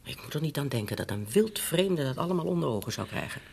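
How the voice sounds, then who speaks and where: A woman's voice: sits low, very animated, fast talker, gravelly, a little mellow, slight background noise, full character voice. A woman playing a fretful, meddling older mother in a Dutch radio drama, hesitant and nagging as she coaxes. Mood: anxious, nagging. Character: fretful, meddling elderly bourgeois mother, scheming and shame-conscious.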